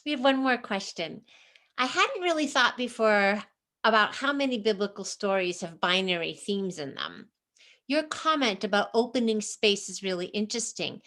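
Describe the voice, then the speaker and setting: A woman with a medium-pitched voice acts as moderator of an academic talk. She puts questions to the speaker in a question-and-answer style. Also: sing-song, moderate pace, fairly bright tone.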